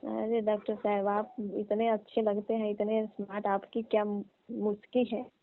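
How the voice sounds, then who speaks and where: A woman's voice: mid-range voice, little pitch movement, normal speed, dry recording, close to an everyday voice. A woman playing a lovesick, flirtatious village woman with a Bihari accent, coaxing and coy in a comic phone call. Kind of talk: comic prank-style phone call, conversational. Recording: muffled narrowband phone call.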